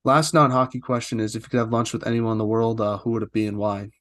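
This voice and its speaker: A man's voice: mid-range voice, little pitch movement, fast, fairly bright tone, no room sound, clean studio sound. A man hosting an interview podcast, asking long questions in a talkative way with frequent "uh" fillers. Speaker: podcast host.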